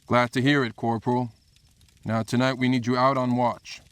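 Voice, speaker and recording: A man's voice: mid-range voice, little pitch movement, normal speed, fairly bright tone, smooth, close to an everyday voice. An actor playing a brusque but reasonable male army commander in a game cutscene, authoritative and dismissive. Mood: commanding. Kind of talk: scripted game cutscene dialogue.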